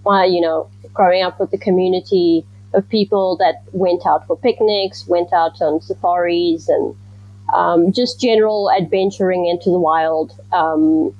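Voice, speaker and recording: A woman from Zimbabwe, a researcher answering interview questions in a thoughtful, measured way with frequent ums. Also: fairly low pitch, steady tone, dull audio. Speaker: guest researcher.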